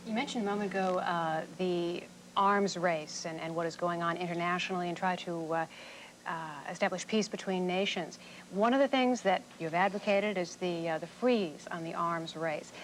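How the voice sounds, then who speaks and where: A woman's voice: lowish voice, normal speed. A woman, speaking as a television host who asks questions and reads introductions. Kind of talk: interview questions and scripted introductions. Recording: faint hum and background noise.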